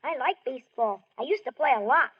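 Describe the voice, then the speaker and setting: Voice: sits high, expressive, fast, husky. A woman playing an earnest, polite young boy who loves baseball, in 1940s radio acting style. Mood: shy, eager. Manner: polite, wistful, pleading. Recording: muffled vintage radio recording.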